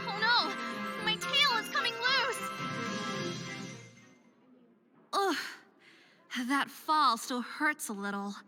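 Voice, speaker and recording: A woman's voice: high-pitched, bright, forceful tone, heavily acted. A woman voicing an anxious but determined young female figure skater in a visual novel game, given to self-doubt and pep talks. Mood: frustrated.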